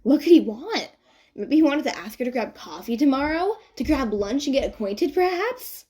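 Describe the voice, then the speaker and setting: A woman's voice: high voice, big pitch swings, normal speed, mellow, voice pushed up. A woman voicing an eager, flustered young female music student who tries to sound cool. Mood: smitten. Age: young adult. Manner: perky, trying to sound cool.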